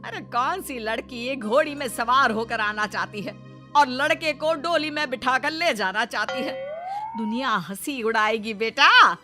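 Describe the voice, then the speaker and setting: A high-pitched, swooping middle-aged mother-in-law acted by a woman from a comic audio storybook. She protests in slow, drawn-out wails, forever exasperated and dramatic, with music underneath. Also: very animated, bright, forceful tone, heavily acted. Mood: indignant. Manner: wailing, indignant, drawn-out exclamations. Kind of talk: melodramatic comic Hindi story dialogue.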